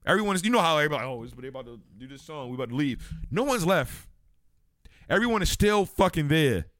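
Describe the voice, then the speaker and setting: A man's voice: fairly high pitch, big pitch swings, quick pace, fairly bright tone, dry recording, studio quality. A man, co-hosting a casual podcast, telling stories animatedly and acting out crowd voices. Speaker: podcast co-host. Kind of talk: casual chat, storytelling.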